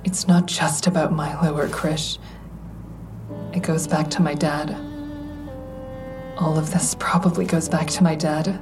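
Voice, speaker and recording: A woman's voice: deep voice, little pitch movement, measured pace, long pauses, music in the background. An actress in an audio drama playing a guarded, self-doubting, caring woman, reflective and hesitant in dialogue and first-person narration. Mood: reflective, sad.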